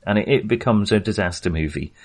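Middle aged guy on a movie podcast, lowish voice, kinda halting and rambly, casual chat. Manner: hesitant, halting delivery with false starts; chuckles often while talking. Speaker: film podcast co-host. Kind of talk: casual film discussion.

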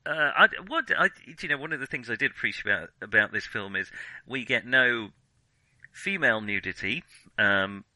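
A man's voice: mid-range voice, expressive, normal speed, pushed and bright, dry recording, rough recording. A man co-hosting a casual film discussion, hesitant and often correcting himself as he thinks aloud. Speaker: film discussion podcast co-host.

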